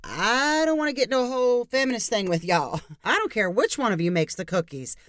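A woman voicing a pushy, cheery middle-aged neighbor woman who talks loudly and overbearingly. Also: on the high side, very animated, brisk, no room sound, voice pushed up. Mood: gushing. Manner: loud, gushing, overbearing.

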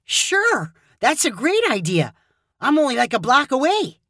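A woman's voice: medium pitch, animated, moderate pace, a bit bright, no echo, studio quality. A woman doing a nervous, awkward young guy. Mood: eager. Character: young man, nervous, awkward, eager to please.

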